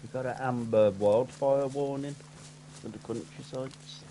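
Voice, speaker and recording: A man's voice: medium pitch, steady tone, unhurried, warm tone, a little hiss or hum. A man chatting casually with a gaming friend, mostly giving brief agreeing replies and dry remarks. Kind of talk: casual chat.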